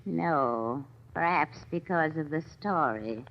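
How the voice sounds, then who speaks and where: An actress playing an ominous, deferential antique shop keeper, speaking in a measured, insinuating 1940s radio manner. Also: female voice, low-pitched. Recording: dull, hissy old radio recording.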